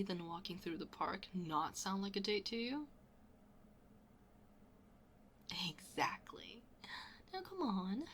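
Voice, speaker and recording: Girl acting out a lovestruck best friend, asmr roleplay, slow soft half whisper, shy n teasing, some background noise. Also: mid-range voice. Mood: playful, happy. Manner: slow, hesitant, intimate. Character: smitten young best friend, shyly confessing, playfully teasing.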